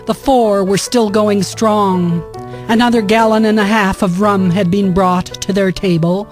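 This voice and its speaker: Female narrator, mid-range voice, scripted historical radio drama storytelling, music clearly underneath. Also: mid-range voice.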